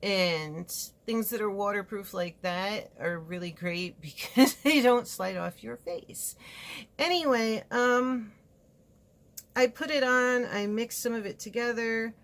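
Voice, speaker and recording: Chatty woman with a gravelly creak. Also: fairly high pitch, takes it slowly. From California, USA. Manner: chatty, frank and rambling, with asides. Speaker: beauty vlogger reviewing makeup. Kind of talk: casual product review chat. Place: home studio with a fireplace.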